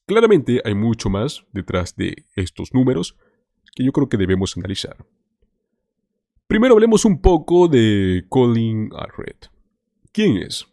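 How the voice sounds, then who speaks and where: Emphatic man with a lively, dark voice. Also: medium pitch, a little slow, dry recording, clean studio sound. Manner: emphatic, addresses viewers as "señores". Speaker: political commentary host. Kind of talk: scripted political commentary.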